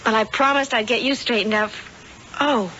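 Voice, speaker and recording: An innocent, high-voiced young woman. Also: moderate pace, pushed and bright. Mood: apologetic. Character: innocent, eager, polite young woman houseguest. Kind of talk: 1970s radio drama acting. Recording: muffled, noisy vintage radio broadcast.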